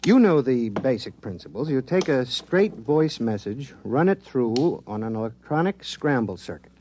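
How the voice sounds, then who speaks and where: A man voices an eager military radio decoder explaining his machines. The pitch swings freely as he talks, earnest and technical. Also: big pitch swings, moderate pace, darkish tone, slight background noise, natural voice. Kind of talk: 1950s radio drama acting. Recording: vintage 1950s radio transcription.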